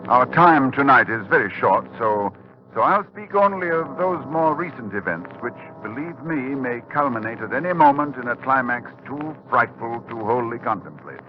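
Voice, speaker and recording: An actor playing a grave, urgent professor who narrates a horror tale in formal, solemn tones in a 1940s radio drama. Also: male voice, medium pitch, big pitch swings, normal speed, music in the background, close to an everyday voice. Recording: muffled vintage 1940s radio recording.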